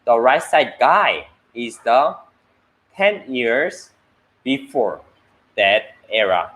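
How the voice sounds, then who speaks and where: Slow, halting Korean man. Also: mid-range voice, sing-song, fairly bright tone. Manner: slow, halting speech in non-native English with many fillers. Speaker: co-host teaching Korean. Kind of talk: casual language chat.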